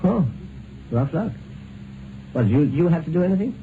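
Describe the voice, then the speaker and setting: An actor playing a hearty, unsuspecting upper-class husband in 1950s radio drama, genial and clipped. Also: male voice, a little high, very animated, normal speed. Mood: sociable. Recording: noisy, narrow-band 1950s radio broadcast.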